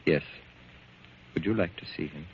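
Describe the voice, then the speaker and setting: An actor playing a cool, grave man with a measured, insinuating delivery in 1940s radio drama style. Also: extremely low pitch, husky. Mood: cold, grave. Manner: measured, deliberate, coolly insinuating. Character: cool, grave man coldly revealing grim news. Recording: muffled, hissy 1940s radio recording.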